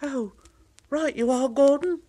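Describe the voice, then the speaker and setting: A man voicing a small, anxious, cheeky male engine in a high, squeaky, childlike voice. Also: slow, warm tone. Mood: meek.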